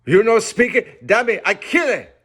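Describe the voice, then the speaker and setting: A man voicing a fierce male harpooner who growls menacing threats in gruff broken speech. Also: sits high, very animated, moderate pace, fairly bright tone, pitched above the performer's own voice, full character voice.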